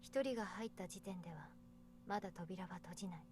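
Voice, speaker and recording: A woman's voice: lowish voice, racing, noisy background, close to an everyday voice. A woman voice-acting a serious, practical young woman reasoning things out in a Japanese visual novel. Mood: calm, serious.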